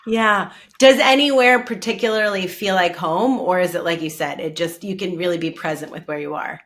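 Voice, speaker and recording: Bright, bubbly woman podcast host. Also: lowish voice, normal speed. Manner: bubbly, enthusiastic, laughs easily. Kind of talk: casual interview chat.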